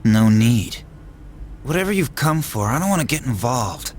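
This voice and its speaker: A man's voice: medium pitch, very animated, moderate pace, a little mellow, slight background noise, natural voice. An actor playing a blunt, proud young male boxer, curt and guarded, in an anime video game. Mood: curt, dismissive.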